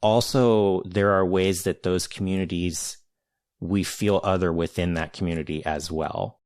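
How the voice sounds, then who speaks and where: Deep-voiced man, measured and searching. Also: steady tone, darkish tone, no echo, studio quality. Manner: measured, searching, many restarts and hedges. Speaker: podcast host, interviewer. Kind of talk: thoughtful conversational interview.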